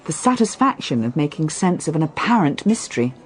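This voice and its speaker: Earnest reporter in a radio play, very animated pitch, well spoken but a bit hesitant. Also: female voice, a little fast. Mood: curious. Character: earnest, restless woman reporter, the detective's friend. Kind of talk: radio drama acting.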